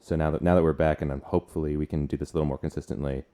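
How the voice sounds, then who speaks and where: A man in his twenties hosting a podcast in casual chat, informal and a little halting. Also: extremely low pitch, steady tone, mellow. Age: 20s. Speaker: podcast co-host.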